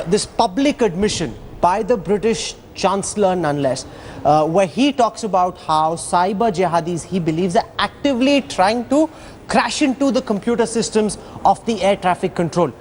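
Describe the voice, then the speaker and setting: A man's voice: high voice, animated, moderate pace, a little hiss or hum. A man with an Indian accent anchoring a broadcast news interview, asking probing questions with dramatic phrasing.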